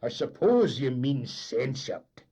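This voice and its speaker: A man's voice: low voice, animated, normal speed, a little mellow, husky. A man voicing an emphatic, grumbling ship's engineer. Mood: indignant. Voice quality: emphatic, contemptuous. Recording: dull lo-fi recording.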